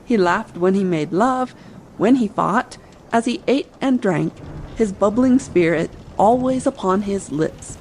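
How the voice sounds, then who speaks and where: A woman's voice: medium pitch, animated, a little slow, darkish tone, a little hiss or hum, poor audio. A woman narrator reading a scripted adventure audiobook meant for bedtime listening. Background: ambient sea sound bed.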